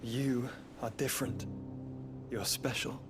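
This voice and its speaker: An actor with a British accent playing an earnest, ailing young nobleman in a video game, speaking in formal, measured phrases. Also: mid-range voice, little pitch movement, lightly acted. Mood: solemn, assured. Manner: grave, measured, formal diction. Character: ailing, earnest young nobleman, wielder of fire, devoted brother. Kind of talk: dramatic video game acting. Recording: orchestral music behind.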